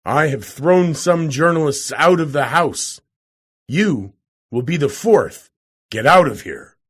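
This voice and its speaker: Roaring hot-tempered professor put on by a male reader, loud, husky, slow. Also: on the high side, lively intonation, voice pushed up, full character voice. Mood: furious. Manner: blustering, roaring.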